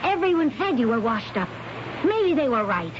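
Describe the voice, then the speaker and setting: On a dull, noisy old radio broadcast, an American wife with a fairly high voice. She is proud, anxious and sharp-tongued, in the manner of 1970s radio drama. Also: very animated, moderate pace. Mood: indignant, bitter.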